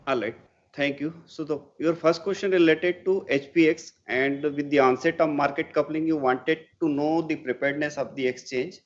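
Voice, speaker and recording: A man with an Indian accent answering as a company executive on an earnings call, in a formal, measured way that repeats words for emphasis. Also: fairly high pitch. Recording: muffled conference call audio.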